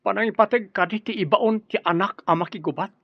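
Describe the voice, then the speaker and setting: A man's voice: sits high, a little fast, vocal fry, no echo, muffled recording. An actor playing an earnest, respectful, peace-loving young man fresh from graduation in an Ilocano radio drama. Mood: earnest, pleading.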